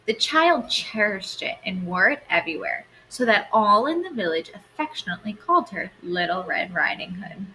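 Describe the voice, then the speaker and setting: A woman teacher reading a storybook aloud to students in an expressive, instructive way. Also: mid-range voice, moderate pace, few pauses, a little hiss or hum, low-quality recording.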